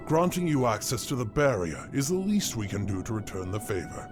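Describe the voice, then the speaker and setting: An actor playing a kindly, stately old monster king in a comic dub, speaking gently and deliberately with courtly manners. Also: on the low side, big pitch swings, over music. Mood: gracious, impressed. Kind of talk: fan comic dub voice acting.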